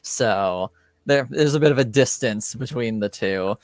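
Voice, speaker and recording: Slow-talking male game master. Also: mid-range voice, a little hiss or hum. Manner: relaxed, hesitant, full of ums and likes. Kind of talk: narrating a tabletop game.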